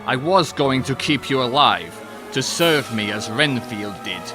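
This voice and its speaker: Man as a slow, menacing vampire count. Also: mid-range voice, expressive, natural voice. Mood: gloating menace. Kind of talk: audio drama acting. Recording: music behind the voice.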